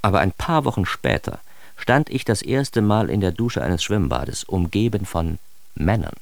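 A man's voice: low voice, big pitch swings, normal speed, vocal fry, no room sound, full character voice. A man playing a neurotic, self-mocking narrator of his own childhood in a radio drama, in standard German with a wry, theatrical manner. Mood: bemused.

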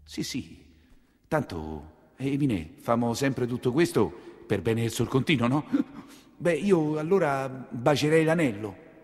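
A man's voice: medium pitch, lively intonation, measured pace. An actor playing an anxious, plain-spoken nineteenth-century coachman with a Roman accent, in a theatrical storytelling monologue. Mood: deferential, cautious.

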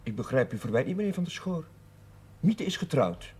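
Earnest young curate, husky-edged. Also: male voice, a little high, sing-song, brisk, a little mellow, heavily acted. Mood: earnest, restrained. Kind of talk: 1970s Dutch television drama acting.

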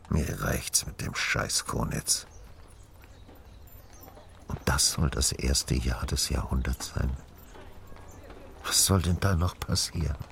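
Very deep, gravelly, weary official. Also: male voice, a bit bright, a little hiss or hum, full character voice. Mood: bitter, resigned. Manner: blunt, exasperated. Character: weary, embittered Prussian official, fed up and indignant. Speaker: actor in a radio play. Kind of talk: radio drama monologue.

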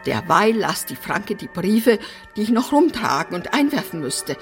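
An actress playing a brash, eccentric apartment resident in a radio drama, theatrical, flippant and defiant. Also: female voice, mid-range voice, very animated, moderate pace, soft background music. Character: brash, eccentric, bossy female apartment resident opening others' letters. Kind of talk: German radio drama acting.

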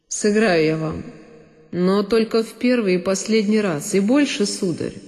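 A woman voicing a proud male serf violinist in an audio play, solemn, resolute and deliberate. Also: medium pitch, warm tone, long reverb tail, lightly acted. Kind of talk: dramatic literary monologue in audio play.

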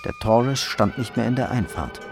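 A man reads scripted crime narration. His mid-range voice moves with a lot of variation in intonation. Music plays clearly behind him. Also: mid-range voice, animated, moderate pace.

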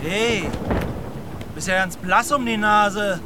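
An actor playing a brash, fearless ship's photographer, brisk and commanding, in a German radio drama. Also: male voice, high voice. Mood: brisk, casual. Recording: loud wind and sea noise outdoors. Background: ship at sea ambience.

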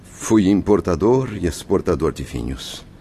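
Embittered, impatient dead merchant in radio drama; deep voice, grave confessional delivery, faint ambient noise. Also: male voice, lively intonation, measured pace, creaky, dark tone, heavily acted. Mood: troubled confession. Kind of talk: Brazilian religious radio drama acting.

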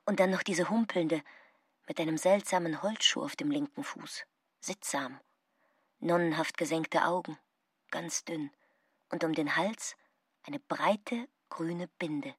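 A haughty queen, in close, dry sound. Her voice is low and breathy, sharp-tongued, in radio drama style. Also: unhurried, no echo. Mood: curious. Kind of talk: radio play acting.

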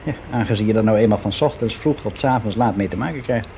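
A man's voice: fairly low pitch, quick pace, slight background noise, lightly acted. An actor playing a fussy middle-aged man who confides in a hesitant, matter-of-fact, conversational way, as in a radio play monologue. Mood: confiding. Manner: hesitant, matter-of-fact, self-justifying, as if answering an interviewer. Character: frank, fussy middle-aged man confiding about his private life. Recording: dull lo-fi radio recording.

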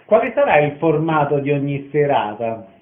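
Higher-voiced man, echoey phone-like sound. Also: sing-song, normal speed. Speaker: radio interviewer. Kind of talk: short interview questions. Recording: roomy, phone-like narrow-band sound.

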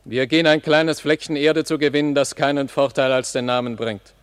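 An actor playing a dutiful army captain with a matter-of-fact, soldierly manner in a German radio play of classical drama. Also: male voice, medium pitch, fairly even intonation, brisk, smooth, in a large hall, natural voice. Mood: plain, disdainful.

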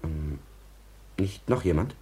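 A deep-voiced, secretive gentleman from a 1950s radio play. He is guarded and curt, picking his words with hesitation like a man with a scheme. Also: expressive, takes it slowly, heavily acted. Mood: probing, impatient. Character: secretive, scheming gentleman villain seeking information. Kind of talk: 1950s German radio drama acting.

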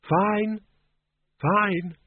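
Guy doing an old undead gentleman for an audiobook, high pitched, polite, wry, kinda uneasy, thin phone sound. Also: steady tone, very slow, heavily acted. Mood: hesitant, uneasy. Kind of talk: audiobook character voice. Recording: narrow phone-like radio sound.

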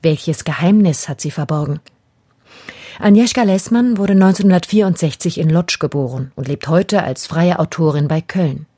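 A woman presents a radio play as a broadcast announcer. Her voice is mellow and husky, a little low in pitch. She reads the script in a composed, formal manner. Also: normal speed, no room sound, clean studio sound. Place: dry broadcast studio.

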